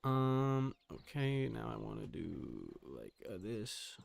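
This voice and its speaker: A man's voice: on the low side, big pitch swings, slow, creaky, a little hiss or hum. A man hosting a game livestream, talking casually and informally in a rambling way, thinking aloud as he goes.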